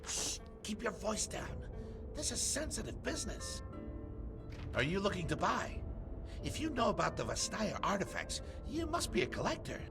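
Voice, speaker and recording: A shady relic merchant in a video game, furtive and jittery. His voice sits high and jumps around in pitch, with music behind it. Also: wildly swooping pitch, moderate pace, vocal fry, full character voice. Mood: furtive, sly.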